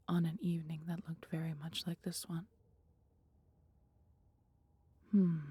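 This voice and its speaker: A woman voicing a caring, protective female dragon in an intimate, breathy ASMR roleplay, with gently formal, old-fashioned speech. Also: sits low. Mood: pensive, tender. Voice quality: breathy, whispery.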